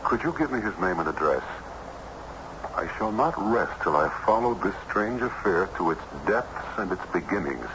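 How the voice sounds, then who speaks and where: An actor playing a courtly, earnest judge in a period radio drama, speaking in a formal, measured, literary manner. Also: male voice, low-pitched, lively intonation. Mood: determined. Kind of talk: 1970s radio drama acting and narration. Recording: noisy, muffled old radio broadcast recording.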